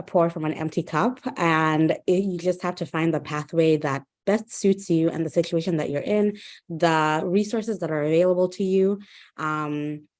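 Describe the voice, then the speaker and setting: A woman's voice: on the low side, darkish tone. A young woman from Uganda, a guest speaker in a webinar conversation, talking thoughtfully with many ums and restarts. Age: 20s.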